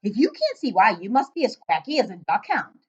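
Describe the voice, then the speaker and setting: A big, exuberant old giant from a children's storybook, played by a woman reading aloud. The voice booms and swings widely in pitch, stretching exclamations with great emphasis. The recording is dull and lo-fi. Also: huge pitch swings, darkish tone, husky. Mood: exasperated. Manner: booming, emphatic, drawn-out exclamations. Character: big friendly old giant, exuberant, mangles his words.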